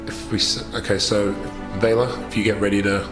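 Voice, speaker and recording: A man with a low voice talks casually in character during a tabletop game. He sounds like he is in an echoey room, and loud music plays underneath. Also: flat delivery, fairly quick. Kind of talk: casual in-character roleplay planning.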